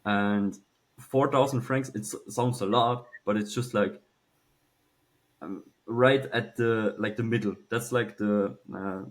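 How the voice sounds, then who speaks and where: A man, telling a personal story casually and haltingly, with some swearing. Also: fairly low pitch, fairly even intonation. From Switzerland. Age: early 20s. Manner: halting, informal, swears freely. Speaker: podcast guest, young entrepreneur.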